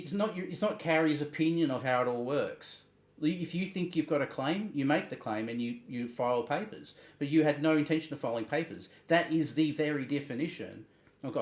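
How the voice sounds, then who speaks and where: A man hosting a livestream, reading messages and commenting in a halting, emphatic way, repeating words. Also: mid-range voice. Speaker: livestream host. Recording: roomy, echoing sound.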